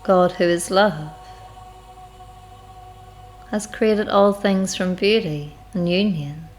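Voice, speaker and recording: A woman with a lowish voice leads a spiritual guided meditation. She speaks very slowly and reflectively, leaving long, measured pauses between phrases. Music plays behind her words. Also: a little mellow.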